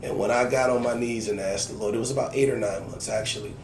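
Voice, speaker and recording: A man's voice: mid-range voice, fairly even intonation, quick pace, darkish tone. A man speaking as a podcast guest, telling his personal story candidly and repeating phrases for emphasis. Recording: echoey room with background noise.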